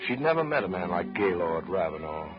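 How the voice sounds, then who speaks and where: A man's voice: low-pitched, very animated, brisk. A man narrating a 1930s radio drama from a script in a lyrical storytelling manner. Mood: fond, confiding. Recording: muffled 1930s radio, music behind.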